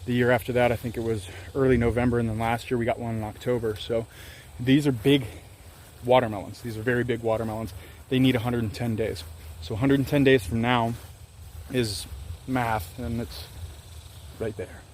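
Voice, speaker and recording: A man's voice: mid-range voice, flat delivery, moderate pace, a little mellow, a little hiss or hum. A man in his thirties, an organic farmer, chatting candidly in a rambling, self-deprecating way while filming himself outdoors on his farm. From Louisiana, US. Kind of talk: casual farm vlog. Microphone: handheld camera at arm's length.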